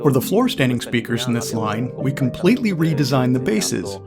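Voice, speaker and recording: A man reading scripted promotional narration as a company representative presenting loudspeakers. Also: medium pitch, expressive, moderate pace. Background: background music with guitar.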